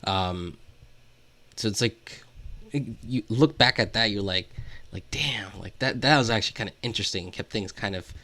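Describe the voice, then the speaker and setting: A man's voice: fairly low pitch, moderate pace, pushed and bright. A man co-hosting a podcast, talking casually and rambling through his thoughts with lots of "like" as filler. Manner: rambling, hesitant, heavy use of "like". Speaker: podcast co-host. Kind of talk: casual music discussion.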